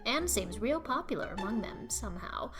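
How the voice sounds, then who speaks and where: A highish, very animated middle-aged gossip acted by a woman for an audiobook. She is bossy and sure of her opinions, folksy and emphatic, ready to be scandalized. Faint music sits behind the voice. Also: normal speed, lightly acted. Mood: knowing, opinionated. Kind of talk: audiobook character acting.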